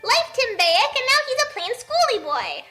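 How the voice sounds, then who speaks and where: A woman's voice: very high-pitched, wildly swooping pitch, moderate pace, over music, pitched above the performer's own voice, full character voice. A woman voicing a boastful, pompous young demon schemer in a comic story reading. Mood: brash. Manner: pompous, brash villain declarations. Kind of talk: comic fan fiction dramatic reading.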